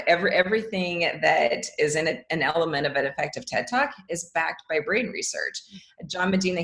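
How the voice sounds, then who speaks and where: Lower-voiced woman chatting as a guest. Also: gravelly, a bit bright. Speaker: podcast guest, educator and author. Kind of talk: conversational interview answers.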